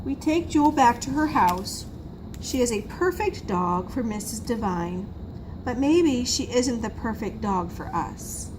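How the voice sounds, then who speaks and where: Female school storyteller for a picture book: lively intonation, emphatic, slow delivery, audible background noise. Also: on the high side. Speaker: school assistant reading to pupils. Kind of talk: children's picture book read-aloud. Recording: noisy room recording.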